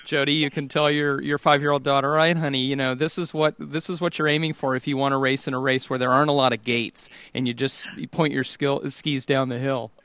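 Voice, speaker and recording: A man's voice: medium pitch, level tone, brisk, hardly any pauses, fairly bright tone, no echo. A man speaking as a sports correspondent in a radio conversation, rambling with lots of fillers and restarts.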